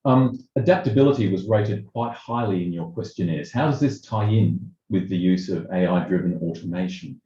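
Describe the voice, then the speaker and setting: A man facilitating a webinar question session over a video call, putting questions in a measured, hesitant way. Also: low voice, mellow. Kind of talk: webinar moderation, asking questions. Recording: roomy, dull video call audio.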